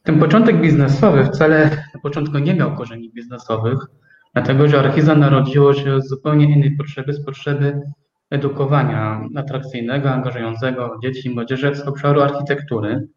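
A dull, roomy recording of a male interview guest. His voice sits at a middle pitch, slightly off the microphone. He explains in a measured way, choosing his words with care. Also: steady tone, a little mellow. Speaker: interview guest, company co-owner. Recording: echoey, dull, distant from microphone.

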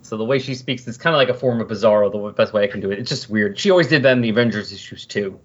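A man's voice: lowish voice, racing, husky. A man in a casual comic book discussion on a podcast, sometimes reading dialogue aloud. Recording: dull, lo-fi voice recording.

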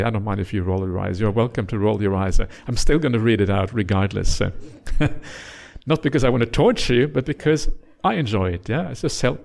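A middle-aged man from Norway, a native Norwegian speaker, giving a gentle, teasing dharma talk as a monk leading a retreat, with many uhs and yeahs. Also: fairly low pitch, vocal fry.

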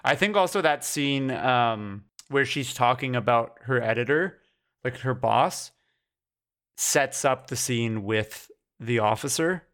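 A man's voice: medium pitch, lively intonation, slow, fairly bright tone. A man co-hosting a casual film podcast, analytical and fond of rhetorical questions. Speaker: podcast co-host.

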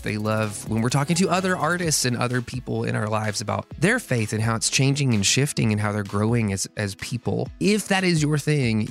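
A young man hosting a podcast, upbeat and chatty with quick laughs, talking casually to his listeners. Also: medium pitch, gravelly. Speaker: podcast host.

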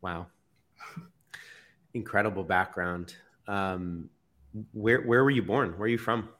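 A man's voice: on the low side, takes it slowly, a bit bright. A man speaking casually and conversationally as a podcast host. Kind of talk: casual conversational interviewing and storytelling.

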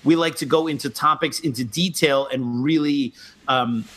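Measured explaining man, mid pitch. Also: fairly bright tone. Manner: explanatory, measured, mildly critical. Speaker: co-host, martial arts instructor. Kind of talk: casual podcast chat.

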